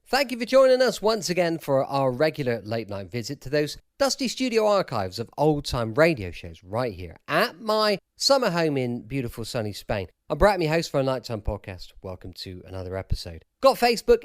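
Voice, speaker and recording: An English man hosts a podcast in a casual, chatty way. His medium-pitched voice moves up and down freely, recorded close and dry. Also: dry recording. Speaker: podcast host.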